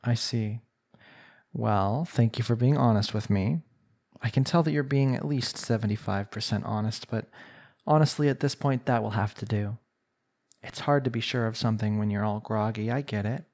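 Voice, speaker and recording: A man's voice: fairly low pitch, darkish tone, lightly acted. A man playing a smug, bratty villain who flirts with his captive in an intimate, condescending ASMR roleplay. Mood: flirtatious, coaxing. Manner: teasing, condescending, unhurried pet-name sweet talk.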